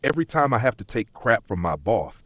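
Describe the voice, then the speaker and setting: Man acting Elias, a weary, husky-voiced skeptical office worker. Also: mid-range voice, big pitch swings, brisk, fairly bright tone, no echo, voice pushed up. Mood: self-doubting. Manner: plain-spoken, skeptical, self-doubting. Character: Elias, weary, doubtful male corporate worker. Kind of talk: dark fantasy audio story dialogue.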